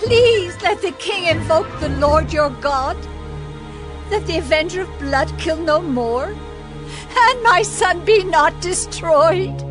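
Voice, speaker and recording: A woman's voice: very high-pitched, expressive, over music, exaggerated voice. A woman playing a grief-stricken, pleading village widow in a mournful, imploring style for a dramatized audio Bible. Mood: humble pleading. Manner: slow, imploring, mournful delivery.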